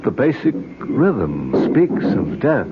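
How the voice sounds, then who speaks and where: An actor playing an avuncular, uneasy old hand on an expedition in a radio drama, explaining things haltingly. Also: male voice, lowish voice, wildly swooping pitch, exaggerated voice. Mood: reluctant, grave. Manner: halting, hedging delivery. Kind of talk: 1970s radio drama acting. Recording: very noisy, dull old radio broadcast.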